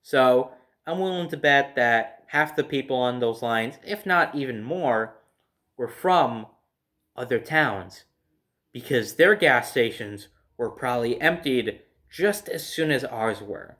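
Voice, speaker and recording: A man's voice: medium pitch, lively intonation, takes it slowly, a bit bright. An American man telling a personal story casually, in a rambling way, circling back over details.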